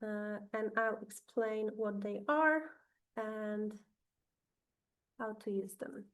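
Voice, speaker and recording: A woman with a Polish accent, whose first language is Polish, giving an online webinar presentation over a video call, pausing often with ums and uhs. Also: medium pitch. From Poland. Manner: hesitant, many ums and uhs.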